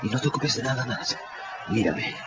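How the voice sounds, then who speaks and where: An actor playing a condemned man who calmly and tenderly comforts a companion, solemn, in a radio drama. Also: mid-range voice, normal speed, over a noisy crowd, very noisy, natural voice. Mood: tender, soothing. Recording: dull radio-drama recording, loud background ambience.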